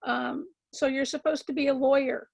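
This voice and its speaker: A woman with a scratchy voice giving a measured, emphatic legal lecture to attorneys, with frequent ums and words repeated for stress. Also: high-pitched, fairly even intonation, gravelly, darkish tone. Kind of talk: continuing-education lecture.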